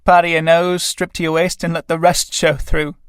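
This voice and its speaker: Reader playing a blunt tramp, gruff, grumbling, coarse, close and dry. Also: mid-range voice, little pitch movement, normal speed, dry recording, natural voice. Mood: mocking. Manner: gruff, blunt, dialect-heavy.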